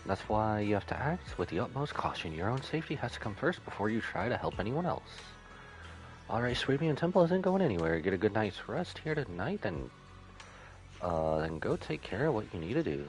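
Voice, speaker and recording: A man's voice: lowish voice, very animated, brisk, soft background music. A man reading out the game lines of a stern, knowing, protective female martial arts master. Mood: stern, cautioning. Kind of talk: reading game dialogue aloud.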